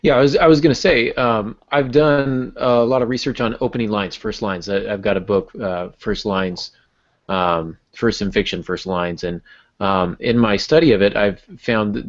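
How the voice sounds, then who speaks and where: Male co-host, lowish voice, casual and halting discussion style, slightly muffled recording. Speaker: podcast co-host.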